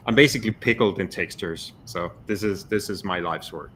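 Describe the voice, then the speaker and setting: Man from Denmark, lowish voice, confident, light hiss behind. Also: a little fast, a bit bright. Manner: fluent, confident, wry. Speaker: guest, texture artist, podcast host. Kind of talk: panel self-introduction. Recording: faint background noise.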